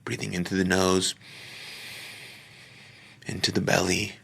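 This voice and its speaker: A man leading a guided meditation, speaking gently in short phrases with pauses and sometimes trailing off into a near-whisper. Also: deep voice, monotone, gravelly, slightly rough. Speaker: podcaster. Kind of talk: guided meditation, soft slow instruction.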